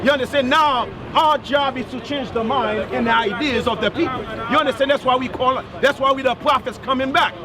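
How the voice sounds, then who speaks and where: Male street preacher, high voice, pressing, busy crowded street. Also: brisk, few pauses. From Haiti. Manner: emphatic, repeats "you understand?". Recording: outdoor street recording with crowd noise.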